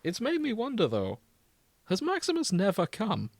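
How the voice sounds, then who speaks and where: A man's voice: sits high, wildly swooping pitch, normal speed, no room sound. A man hosting a podcast in casual banter, chatty, teasing and wry, sometimes reading fan fiction aloud. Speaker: podcast host.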